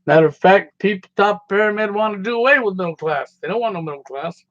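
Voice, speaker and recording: A middle-aged man from the United States talks in a folksy, digressive monologue, his grammar loose and his delivery conversational. His voice sits a little high for a man. The recording is dry and a touch dull, with a lo-fi edge. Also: fast talker, a bit bright, no room sound. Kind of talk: rambling personal monologue. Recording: slightly dull, dry lo-fi sound.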